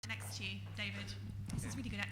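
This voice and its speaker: A woman chairing a conference discussion, briskly passing the floor to speakers. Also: medium pitch, fairly even intonation, very noisy. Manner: brisk, organizing. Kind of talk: moderating a discussion.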